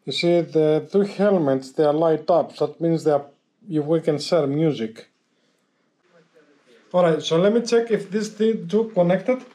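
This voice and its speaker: A man giving a casual hands-on tutorial as a vlogger, explaining step by step in a halting, repetitive way. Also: on the high side, mellow.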